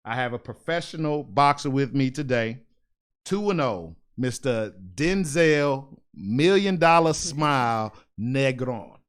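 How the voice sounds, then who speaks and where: A man hosting a casual podcast interview, animated and joking, often repeating his own phrases. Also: medium pitch, slow, smooth. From United States. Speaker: podcast host and ring announcer.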